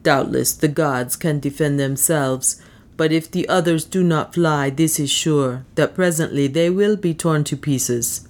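A woman voicing a wary, plain-spoken man in an audiobook, giving grave and measured counsel. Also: fairly high pitch. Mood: grave caution. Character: wary, plain-spoken male ally urging retreat. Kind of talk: audiobook character speech.

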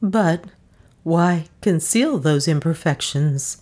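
A woman's voice: low-pitched, animated, slow pace, darkish tone, exaggerated voice. A woman voicing a bold, scheming wife with an arch, coaxing manner. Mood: scolding. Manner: arch, coaxing, theatrical lilt. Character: bold scheming middle-aged wife, sly and domineering.

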